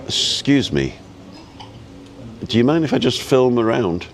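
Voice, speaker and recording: A man with an easygoing, chatty and halting way of talking, casually rambling as he hosts a live vlog. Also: medium pitch, very animated. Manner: easygoing, chatty, halting as he reads and answers viewer comments. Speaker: live-streaming vlogger and host. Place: outdoors at a restaurant overlooking the road and ocean.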